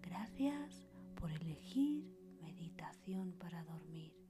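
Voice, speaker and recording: Whispering woman, very unhurried meditation guide. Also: fairly low pitch, animated. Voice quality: breathy whisper. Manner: soothing, unhurried pauses between short phrases. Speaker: meditation podcast host. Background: soft meditation music with singing bowls.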